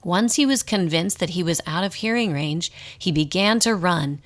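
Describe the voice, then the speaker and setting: Expressive, lower-pitched woman storyteller. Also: moderate pace. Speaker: narrator. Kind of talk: scripted storybook narration for a radio drama.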